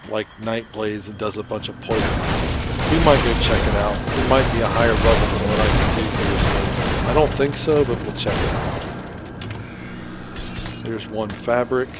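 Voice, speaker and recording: A man's voice: on the low side, moderate pace, very noisy. A man giving casual, rambling gameplay commentary as he hosts a playthrough. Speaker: host of a game playthrough. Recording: music behind the voice. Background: loud game music.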